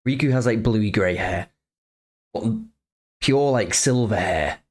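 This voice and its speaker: A man's voice: fairly low pitch, expressive, unhurried. A man hosting a reaction video, musing aloud hesitantly with many fillers. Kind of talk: casual reaction commentary.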